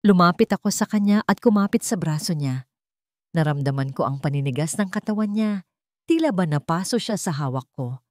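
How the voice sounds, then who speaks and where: Woman, Filipino accent, lower-pitched voice, dry close sound, dramatic audiobook narration, first-person storytelling. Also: lively intonation, brisk, a little mellow, no echo.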